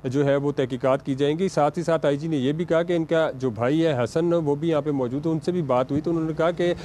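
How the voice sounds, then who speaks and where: A man with a Pakistani accent giving an unscripted news report, halting and hesitant, with frequent filler sounds. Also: a little high, fairly even intonation, darkish tone, a little hiss or hum. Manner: halting, hesitant delivery with repeated filler words.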